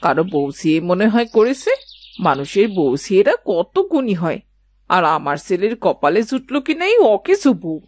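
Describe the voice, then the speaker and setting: A woman's voice: mid-range voice, sing-song, normal speed, a little mellow, no room sound. A woman playing an older village mother-in-law in a cartoon, bossy, carping and full of self-pity. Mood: complaining. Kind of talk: dramatised cartoon dialogue.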